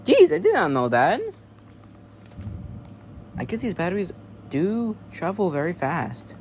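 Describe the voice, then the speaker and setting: A man's voice: sits high, huge pitch swings, unhurried, a little mellow, pitched above the performer's own voice, heavily acted. A man playing a flustered, easily panicked emergency newsreader in an amateur alert parody, whose formal announcements lapse into rambling. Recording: noisy amateur home recording.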